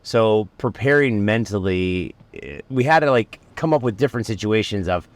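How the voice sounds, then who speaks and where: A man from Ohio, a magician guest chatting casually in a car, relaxed and teasing. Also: lowish voice, normal speed. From Cleveland, Ohio. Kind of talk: casual chat.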